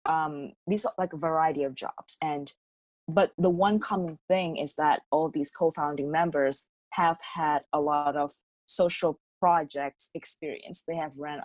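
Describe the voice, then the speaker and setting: A woman's voice: low-pitched, fairly even intonation, a little slow, a little mellow, no echo. A woman student and co-founder explaining how her youth network started, in a casual, explanatory way. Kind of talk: panel conversation.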